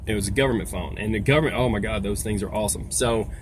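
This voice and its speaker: A man's voice: lowish voice, quick pace. Man rambling casually over background noise. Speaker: video host, trucker. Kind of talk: casual explainer vlog. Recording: noisy, vehicle-like background sound.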